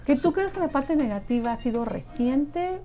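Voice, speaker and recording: A woman's voice: sits high, animated, moderate pace, over music. A woman with a Mexican accent, talking conversationally as an interviewer.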